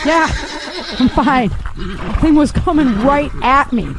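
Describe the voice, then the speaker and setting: A woman's voice: high voice, lively intonation, takes it slowly, noisy background. An actress playing a spirited female fighter and horse owner in an audio drama. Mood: alarmed.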